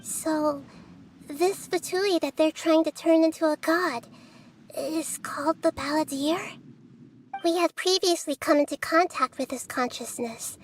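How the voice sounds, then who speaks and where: High-voiced, calm, wise young goddess. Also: female voice, slight background noise. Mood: pensive. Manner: measured, analytical, articulate. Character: calm, wise, inquisitive young girl-like deity. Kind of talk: fantasy game dialogue. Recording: music behind the voice. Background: game music.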